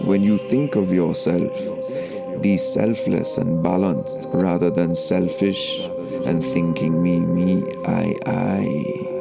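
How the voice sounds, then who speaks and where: A man narrating a scripted spiritual lesson in a deliberate, declamatory, solemn way, like a preaching spoken-word teacher. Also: low voice, gravelly. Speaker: narrator and host of a spiritual story series. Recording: voice over background music. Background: music.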